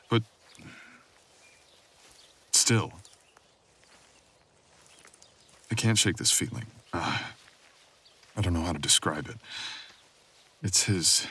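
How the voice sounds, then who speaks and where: Man playing a very deep-voiced brooding supernatural boyfriend. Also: a bit bright, husky, exaggerated voice. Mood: brooding, suspicious. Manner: intimate, teasing, drawling. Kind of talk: boyfriend ASMR audio roleplay.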